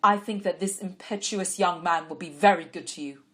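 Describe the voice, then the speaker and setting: A woman voicing a dignified older father who speaks with measured, kindly authority. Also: on the low side, a bit bright, close to an everyday voice. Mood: pleased.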